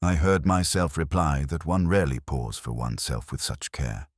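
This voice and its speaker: A man narrating a scripted first-person detective story in a measured, literary and reflective manner. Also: extremely low pitch, expressive, dry recording, clean studio sound.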